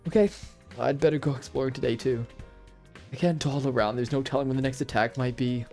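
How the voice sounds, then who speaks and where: An actor voicing a calm young male student in a game, talking through plans in an even, matter-of-fact way, with music under him. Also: medium pitch, fast, close to an everyday voice. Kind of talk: game voice acting.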